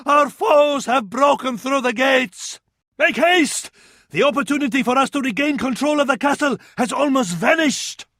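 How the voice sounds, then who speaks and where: An actor with an Arabic accent playing a fervent, scornful medieval advisor to a sultan in a video game, in a florid, declamatory style. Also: male voice, extremely high pitch, bright, forceful tone, dry recording, clean studio sound, heavily acted. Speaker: battle advisor in a strategy game. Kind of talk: theatrical video game voice acting.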